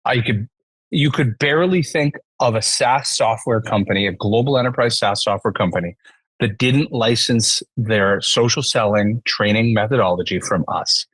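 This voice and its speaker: A man's voice: fairly low pitch, normal speed, fairly bright tone, slightly rough, no room sound. A man in his forties with a Canadian accent, telling business stories conversationally as a podcast guest. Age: 40s.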